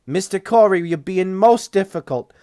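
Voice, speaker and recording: A man's voice: high voice, moderate pace, mellow, clean tone, dry recording, clean studio sound, pitched above the performer's own voice, close to an everyday voice. A man voicing a nervous, indignant hospital director in an audiobook, prim and exasperated in manner. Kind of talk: audiobook character voice.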